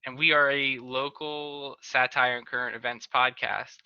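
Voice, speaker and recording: A joking man hosts a comedy podcast from a home video call, often breaking off and restarting his sentences. His voice sounds very bright, almost sharp. The call audio is dull and lo-fi. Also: medium pitch, fairly even intonation, a little slow, clear, resonant tone, no echo. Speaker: podcast host. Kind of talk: casual video-call chat.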